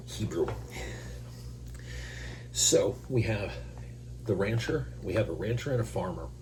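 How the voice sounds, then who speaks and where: Slow low-voiced man, allergy-scratchy. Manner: casual, self-deprecating asides between verses. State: allergies, clearing his throat. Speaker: host reading scripture. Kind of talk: Bible reading with informal commentary. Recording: noisy recording with electrical hum.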